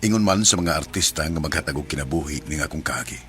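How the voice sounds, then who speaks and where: A man's voice: a bass voice, fast, a bit bright, slight background noise. A man in a radio drama playing a hesitant married man who narrates his own story and stammers through apologies. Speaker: narrator and lead.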